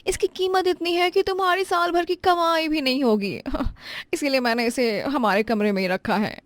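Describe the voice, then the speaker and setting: A woman's voice: sits high, moderate pace, dry recording, voice pushed up, close to an everyday voice. A woman voicing a rich, stingy young daughter-in-law, sweetly affected and condescending, in a moral story. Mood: haughty, dismissive.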